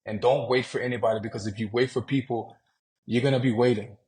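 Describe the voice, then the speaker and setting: A man from Louisiana chatting as a podcast guest. His delivery is loose and conversational, full of "man" and "you know", and his voice sits at an ordinary middle pitch. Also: steady tone, quick pace, darkish tone. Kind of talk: casual interview talk.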